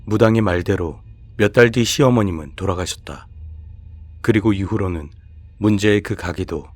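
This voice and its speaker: A man's voice: fairly low pitch, moderate pace, soft background music. A man reading scripted horror narration as the storyteller of a radio-style show.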